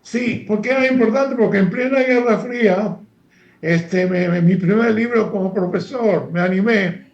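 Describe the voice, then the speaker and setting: An older man from Argentina, a former professor speaking as a guest analyst in a halting, lecture-like way with many fillers and restarts. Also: high voice, echoey room, muffled recording. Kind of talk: lecture-like interview talk.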